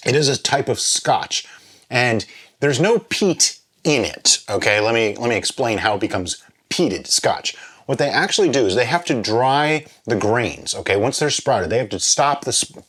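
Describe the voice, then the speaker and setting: A man hosting a drinks review vlog, chatting casually and explaining as he muses aloud, with pauses and little check-ins. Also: lowish voice, fairly bright tone, breathy edge. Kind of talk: casual chat, tasting review.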